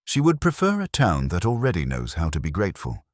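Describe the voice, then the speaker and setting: A man voicing a prim, composed older woman with dry wit and precise, measured, formal speech in a radio mystery. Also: low-pitched, wildly swooping pitch, no echo. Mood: dry, guarded. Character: composed, dryly witty, prim older woman, a music-loving typist.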